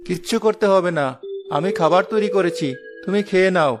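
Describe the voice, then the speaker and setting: Guy doing a gentle-natured young husband in a cartoon, caring and reassuring, music clearly behind him. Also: high-pitched, brisk. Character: kind, thoughtful young imam husband. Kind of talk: cartoon dialogue dub.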